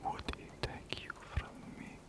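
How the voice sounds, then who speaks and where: A man voicing an ancient, courtly vampire count in a whispered ASMR roleplay, formal and solemn, possessive and lovelorn. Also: close to an everyday voice. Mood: yearning, devoted. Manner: slow, formal, archaic, solemn delivery.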